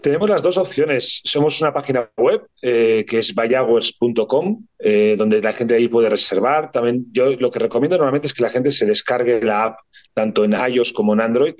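A man with a Spanish accent from Barcelona explains his business in an interview, fluent yet full of small hesitations and repeated words. His voice sits at an ordinary male pitch. The sound is a little dull and lo-fi. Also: normal speed. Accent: Spanish from Spain. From Spain, Barcelona. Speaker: startup founder and CEO, guest. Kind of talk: interview answers.

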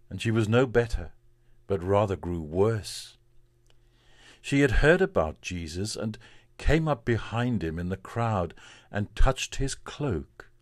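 A man, a priest, reading from the Bible in a solemn, measured and deliberate way. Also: fairly low pitch, dry recording, studio quality.

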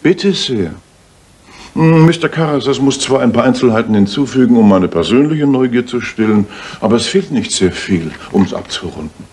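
An actor playing a shrewd, self-assured gentleman detective, formal and authoritative, in a radio play. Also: mid-range voice, expressive, normal speed, breathy edge. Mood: deductive. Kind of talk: German radio play acting.

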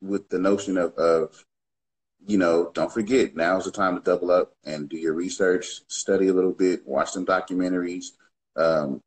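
Laid-back deep-voiced man on a video call. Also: normal speed. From US West Coast. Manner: laid-back, slangy, relaxed. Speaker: music producer guest. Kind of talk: casual livestream interview. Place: home studio, indoors. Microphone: webcam on a video call.

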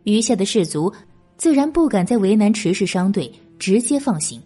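A woman's voice: mid-range voice, lively intonation, moderate pace, mellow, breathy edge, soft background music. A woman narrating a historical novel as an audiobook in a dramatic, scripted style.

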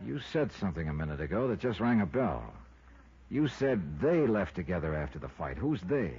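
An American actor playing a wry, suspicious insurance investigator with clipped, probing delivery in a 1950s radio detective drama. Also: male voice, low-pitched, very animated, normal speed, husky, heavily acted. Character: hard-boiled, suspicious male insurance investigator. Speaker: lead actor and narrator. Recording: lo-fi old radio recording, loud noise.

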